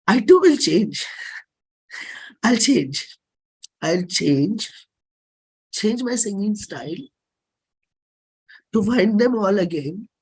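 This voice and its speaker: A performer with an Indian accent and a husky voice, giving a dramatic, deliberate reading of a personal play script. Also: mid-range voice, big pitch swings, rough recording. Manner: measured, deliberate, theatrical phrasing.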